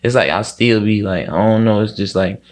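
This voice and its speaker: A man's voice: lowish voice, normal speed. A man talking casually as an interview guest, in a rambling, repetitive, slangy way with frequent swearing.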